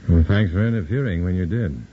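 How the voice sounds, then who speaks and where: A man's voice: deep voice, fairly quick, slightly rough. An actor playing a grim undercover man in a 1940s radio drama, narrating in clipped, tough-talking first person. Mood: steady. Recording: loud hiss on a dull old radio recording.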